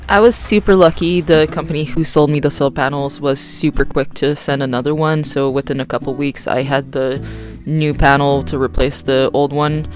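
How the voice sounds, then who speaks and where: Young woman, casual narration, explanatory, frequent "uh" pauses, faint music behind. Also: low voice. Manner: relaxed and explanatory, with many "uh" and "like" pauses. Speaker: solo sailor building her own electric boat.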